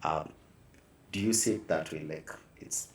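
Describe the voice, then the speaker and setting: A man's voice: rumbling low, a little slow. A man with a Kenyan accent hosting a casual conversational interview. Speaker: podcast host. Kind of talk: casual conversational interviewing, mixing English and Swahili. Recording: echoey room, distant mic, faint noise.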